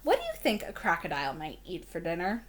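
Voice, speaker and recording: A woman's voice: on the low side, wildly swooping pitch, fast. A woman teacher introducing a read-aloud for young children, explaining things simply and asking the listener questions. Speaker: elementary school teacher.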